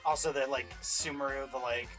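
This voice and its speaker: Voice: mid-range voice, fairly even intonation, brisk, a bit bright. A reaction show host chatting casually with fannish opinions. The voice sits in a middle register, with faint music behind it.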